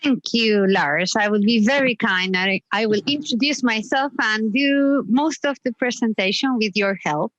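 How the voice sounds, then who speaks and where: A woman's voice: fairly high pitch, normal speed, hardly any pauses, a bit bright, dry recording, dull audio. A middle-aged woman with a Chilean Spanish accent giving an informal, chatty introduction as a guest speaker in a webinar. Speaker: technical manager, guest speaker.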